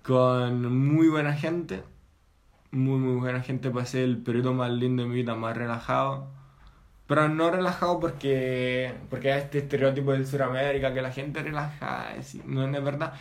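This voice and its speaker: A young Italian man with an Italian accent, chatting casually in a second language, pausing and searching for words as he tells his story. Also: medium pitch, steady tone. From Italy. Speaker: guest. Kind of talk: casual chat.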